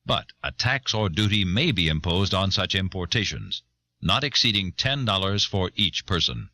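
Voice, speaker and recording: Deepish, forceful man reading formally. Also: big pitch swings, moderate pace, dry recording. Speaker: reader of a legal document.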